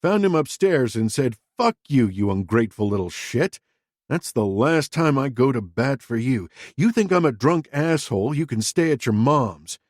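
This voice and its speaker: A man's voice: mid-range voice, animated, moderate pace, dry recording, clean studio sound, natural voice. A man voicing a crude, defensive middle-aged father who talks in a blunt, profane, self-justifying way. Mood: bitter, angry.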